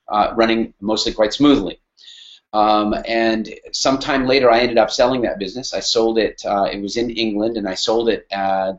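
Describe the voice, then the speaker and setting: Canadian man, middle-aged, relaxed and halting webinar talk, muffled lo-fi call audio. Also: on the low side. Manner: hesitant, many fillers and restarts. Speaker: webinar speaker. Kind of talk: casual spoken talk on a webinar.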